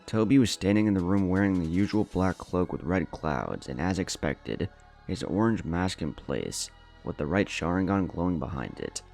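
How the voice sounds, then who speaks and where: A man's voice: deep voice, lively intonation, normal speed, gravelly, darkish tone. A man reading a scripted story in a dramatic narrating style. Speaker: fan fiction narrator. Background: background music.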